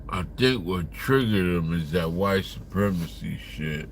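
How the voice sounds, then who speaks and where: A man's voice: low voice, expressive, a little slow, a little hiss or hum. A man in his fifties chatting casually on a livestream, reading viewer comments aloud in a rambling, profane way. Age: 50s. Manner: rambling, profane, talks to chat.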